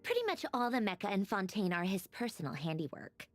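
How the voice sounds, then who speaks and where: A woman's voice: medium pitch, big pitch swings, a little fast, no room sound, soft background music. An actress playing a haughty, witty woman inventor in a video game, guarded yet wistful, speaking in a wry, articulate way. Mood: guarded, flustered. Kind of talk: scripted video game story dialogue.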